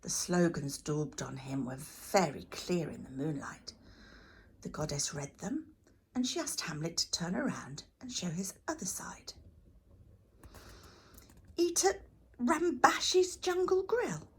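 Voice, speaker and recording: Expressive, slightly husky woman reading a story. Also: low-pitched, unhurried. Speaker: children's storybook reader. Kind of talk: expressive scripted story narration.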